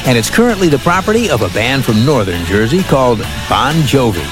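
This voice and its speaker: A man hosting a weekly radio countdown show, reading scripted narration in a lively announcer style from a studio. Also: medium pitch, fairly quick. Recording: music playing behind. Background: music.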